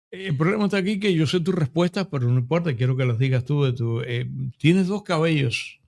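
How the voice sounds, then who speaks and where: A Cuban man in his fifties with a Cuban accent, hosting a podcast interview and telling stories in a lively, chatty way full of asides. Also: a little high, normal speed. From Cuba. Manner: animated storyteller, full of restarts and asides. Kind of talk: casual conversational interview.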